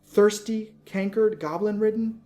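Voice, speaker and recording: A young woman from storybook verse, stricken with horror for her sister, as a male reader puts her on. The pitch is lifted above a normal speaking voice. The delivery is pleading and full of lament. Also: a little slow, mellow. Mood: anguished, horrified. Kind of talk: dramatic poetry reading.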